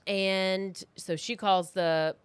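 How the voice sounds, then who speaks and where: A woman's voice: mid-range voice, steady tone, drawn-out words, smooth, no room sound. Woman, podcast host, informal storytelling, clean resonant voice, dry sound. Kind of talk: casual true-crime storytelling.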